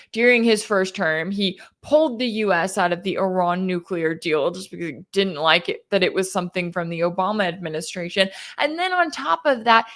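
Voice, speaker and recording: A woman's voice: mid-range voice, fairly bright tone. A woman hosting a news commentary show, speaking in a conversational, emphatic and skeptical way. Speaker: independent news commentator and host. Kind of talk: opinionated news commentary to camera.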